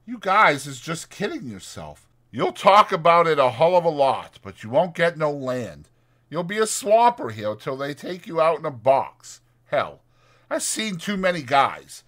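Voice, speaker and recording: A bitter loner of a ranch stable hand, acted by a male narrator. The voice is forceful and raised somewhat in pitch, with blunt, rough country phrasing for an audiobook drama. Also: sing-song, unhurried. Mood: brutal, scornful. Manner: blunt, dismissive, rough rural speech.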